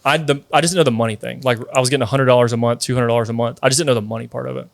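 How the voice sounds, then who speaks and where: A man's voice: mid-range voice, steady tone, rapid-fire, gravelly, dry recording. A man of thirty, a company founder, telling his story in a casual interview, chatty and full of "like" and "dude". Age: 30s. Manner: chatty, many fillers like "like" and "dude". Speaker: guest, sweet tea company founder.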